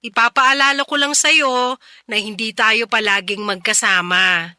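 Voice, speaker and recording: A woman's voice: fairly high pitch, fairly even intonation, a little slow, pushed and bright, slight background noise. A woman playing a tired, impatient but caring single mother, weary and scolding in a radio drama. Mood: tired, dismissive. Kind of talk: Tagalog radio drama acting.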